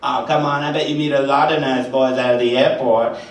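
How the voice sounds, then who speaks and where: A man's voice: mid-range voice, steady tone, a little fast, close to an everyday voice. A man playing a greasy, smug, pushy henchman in a live radio play. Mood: sleazy, flirting. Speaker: amateur stage voice actor. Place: large indoor hall before an audience. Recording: very echoey hall, far mic, noisy.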